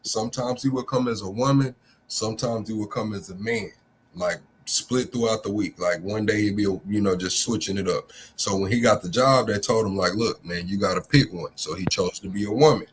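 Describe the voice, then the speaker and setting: A man hosts a live online debate panel in a loose, slangy way, drifting into stories. His voice sits on the low side. Faint noise runs underneath. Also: fairly quick. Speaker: livestream debate host.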